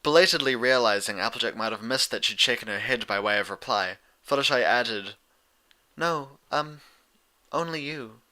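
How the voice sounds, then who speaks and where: Bright, forceful male narrator. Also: fairly low pitch, a little fast, hardly any pauses. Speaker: audiobook narrator. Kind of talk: scripted story narration.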